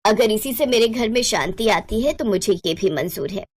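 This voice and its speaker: A woman's voice: mid-range voice, lively intonation, normal speed, breathy edge. A woman voicing a worried older mother-in-law in a story, exasperated but loving, with a fretful, plaintive delivery. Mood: resigned.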